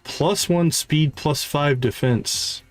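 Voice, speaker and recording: A man livestreaming a game, thinking aloud in casual commentary and reading item stats in a deliberate, musing way. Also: fairly high pitch, faint music behind.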